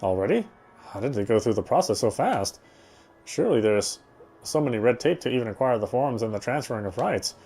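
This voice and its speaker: A man reads a visual novel aloud while playing it. His voice sits at an average male pitch. Faint music runs behind his narration. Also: animated, a little fast, mellow, husky. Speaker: let's player reading a game aloud.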